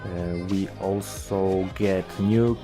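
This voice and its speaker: Young Polish-accented gamer, deep and unhurried, hesitant and full of fillers, music underneath. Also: male voice, fairly even intonation. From Poland. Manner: hesitant, many filler sounds. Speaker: gaming YouTuber. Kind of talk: game update commentary.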